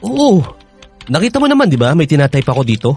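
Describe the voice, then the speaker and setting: An exasperated young boyfriend in a radio drama. He is evasive and placating, with hesitant starts and very lively pitch swings. Soft music sits behind him. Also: quick pace, husky, full character voice. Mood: distracted, reluctant.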